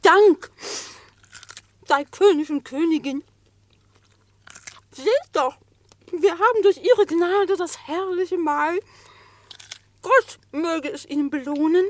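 An actress playing a devoted, anxious vixen wife and mother in a classic radio drama, speaking tenderly and plaintively in drawn-out phrases. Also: very high voice. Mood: grateful.

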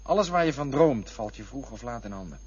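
A man's voice: mid-range voice, lively intonation, fairly quick, highs cut off, natural voice. A man playing a restless lover in a radio play, looking back on past affairs and turning bitter when pushed. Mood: wistful. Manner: wistful, then bitter and accusing. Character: restless adult man chasing love and bodies.

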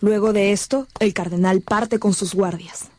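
A woman's voice: medium pitch, steady tone, normal speed, mellow, no room sound. A woman narrating a radio drama in a scripted, dramatic storytelling style.

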